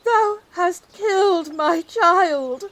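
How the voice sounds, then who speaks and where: Anguished, high-voiced noblewoman. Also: a bit bright, dry recording, exaggerated voice. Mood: anguished accusing. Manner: slow, anguished, heightened delivery. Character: grieving, accusing woman of a wronged noble family. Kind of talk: Shakespearean radio play acting. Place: home recording.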